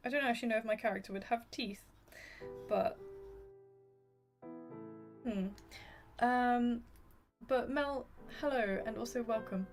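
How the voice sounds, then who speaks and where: A soft-spoken woman whose voice is going hoarse, chatting apologetically with viewers as she draws on a livestream. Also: fairly high pitch, unhurried, pauses often, soft background music. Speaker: art streamer. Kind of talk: casual livestream chat while drawing.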